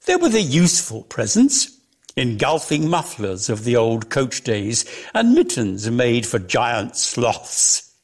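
A man giving an expressive, theatrical reading of lyrical prose as a measured storyteller. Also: medium pitch, creaky, breathy edge. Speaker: reader of a dramatic story.

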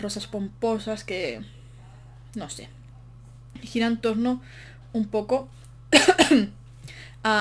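Chatty woman, rambling and short of breath. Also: mid-range voice. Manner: chatty, rambling, self-mocking asides. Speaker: game build commentator. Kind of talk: casual voice-over while building in a game.

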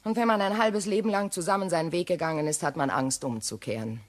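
A woman's voice: fairly low pitch, close to an everyday voice. A woman playing a proud, bitter, middle-aged betrayed wife in a crime radio drama, theatrical and sarcastic. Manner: theatrical, sarcastic, deliberate repetition.

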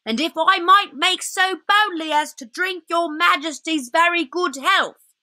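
Frightened old fox, high-pitched and stammering, a woman's voice. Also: unhurried, sharp tone, no room sound, clean studio sound, heavily acted. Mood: fawning, nervous. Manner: stammering, deferential, hesitant. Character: timid elderly male fox, fawning and frightened.

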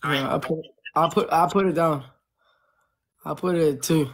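A young man hosting a phone livestream, teasing his guest and shouting out viewers who send gifts. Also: on the high side, steady tone, normal speed. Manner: boastful, teasing, shouting out gifters. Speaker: livestream host. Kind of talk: casual livestream banter. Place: indoor room. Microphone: phone at arm's length.

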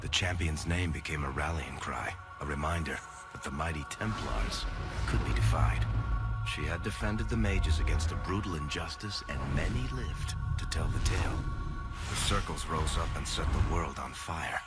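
A middle-aged dwarf adventurer in a video game. His voice is deep, his delivery wry and theatrical, like a practiced teller of tales. Music plays clearly behind him. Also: normal speed. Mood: somber narration. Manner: sardonic, dramatic storytelling. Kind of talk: video game voice acting, narrative.

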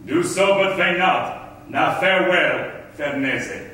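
A man acting a scheming, self-assured merchant on stage, declaiming Elizabethan drama with deliberate grandeur. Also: on the high side, sharp tone, distant mic. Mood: commanding. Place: concert hall stage, far camera. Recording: echoey hall from afar, faint noise.

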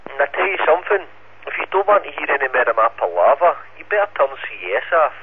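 A man's voice: on the high side, big pitch swings, quick pace, slightly rough. A man with a central Scottish accent bantering on two-way amateur radio, sweary and slangy, taunting the others. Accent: Scottish, central Scotland. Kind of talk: casual ham radio banter. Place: received over an FM radio repeater. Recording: phone-like narrowband radio, faint noise.

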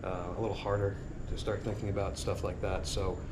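A man's voice: low-pitched, level tone, fairly quick. A middle-aged man talking to camera on an outdoor porch by a marina, earnest and rambling as he muses on life and urges viewers to stop worrying. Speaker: sailing vlogger. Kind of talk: casual reflective monologue. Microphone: action camera on a tripod a few feet away. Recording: outdoor ambience noise. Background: outdoor ambience.

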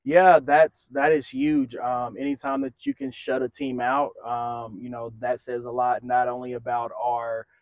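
A middle-aged man, a head soccer coach, giving a measured post-game interview outdoors on a field at night. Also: mid-range voice, dry recording, dull audio. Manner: measured, steady, frequent fillers.

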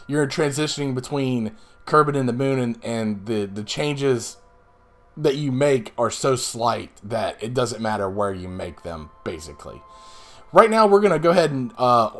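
A man in his thirties, a little hoarse today, casually explaining his moves while playing a space game, with rambling asides. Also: medium pitch, fairly bright tone, a little hiss or hum. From Northeastern US. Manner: rambling, explanatory, self-deprecating. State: slightly hoarse. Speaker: let's play host. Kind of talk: casual gameplay commentary.

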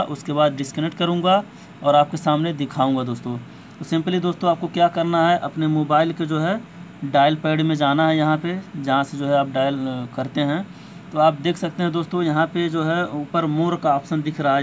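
A man with a slightly high voice walks viewers through phone settings step by step. His delivery is informal and repetitive, with some hesitation as he goes. It is an amateur recording with faint noise behind him. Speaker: tech tutorial host.

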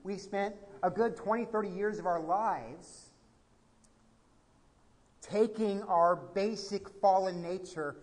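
A man in his thirties with an American accent, preaching a sermon from the pulpit and moving between quiet, reflective passages and emphatic appeals. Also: high-pitched, slow, pauses often. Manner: animated, gesturing, swings from quiet to emphatic. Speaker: pastor. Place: church sanctuary at a pulpit. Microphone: pulpit microphone. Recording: reverberant church hall.

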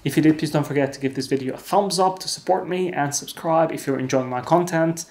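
A young man hosting a tech video, narrating a scripted review in a clear, earnest and opinionated way from a desk at home. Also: fairly high pitch, brisk, a little mellow. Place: home desk room with lamp.

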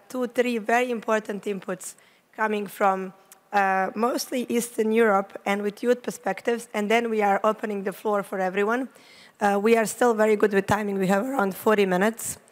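A woman in her thirties moderates a conference panel with a handheld mic, politely thanking speakers and handing over the floor. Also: mid-range voice. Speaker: session moderator. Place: conference stage, seated.

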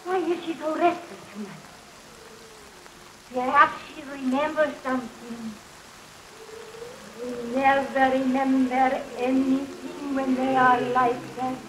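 A woman's voice: high voice, fairly even intonation, slow, pauses often. A woman acting an uneasy, wondering character in a 1930s horror film. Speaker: film actor. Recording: echoey, hissy 1930s film soundtrack.

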